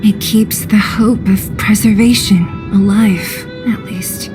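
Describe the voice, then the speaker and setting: A woman's voice: medium pitch, steady tone, slow, close to an everyday voice. An actress playing a blunt, protective young woman in a dramatic game cutscene, speaking frankly and with feeling. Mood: resigned resolve. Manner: frank, emotional, plain-spoken. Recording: orchestral music behind the voice.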